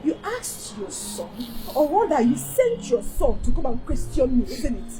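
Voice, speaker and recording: An actress playing a scornful wife who loudly demands money from her injured husband, speaking. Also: high-pitched, very animated, measured pace, over music. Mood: bitter. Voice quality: loud, scornful and nagging.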